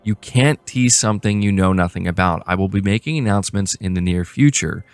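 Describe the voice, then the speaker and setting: A man's voice: deep voice, a little fast, smooth, dry recording, faint music behind. A man hosting a gaming news show, moving between read-out reports and casual commentary. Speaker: host of a gaming news channel.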